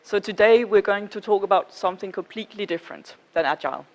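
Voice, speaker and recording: A woman from Denmark with a Danish accent, presenting to a conference audience in an informal, measured manner and checking in with her listeners. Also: mid-range voice. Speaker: conference speaker.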